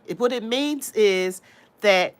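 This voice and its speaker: A woman's voice: mid-range voice, slow pace. A woman with a cold and a congested voice, rambling in casual chat to her livestream viewers. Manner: rambling, talks straight to viewers. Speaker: livestream host.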